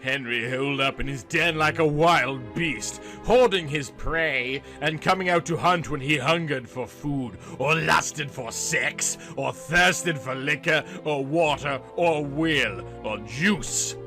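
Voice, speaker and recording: Bright-voiced man reading a comic story theatrically. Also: fairly high pitch, slow, few pauses, faint music behind. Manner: hammy, dramatic, emphatic. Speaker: narrator.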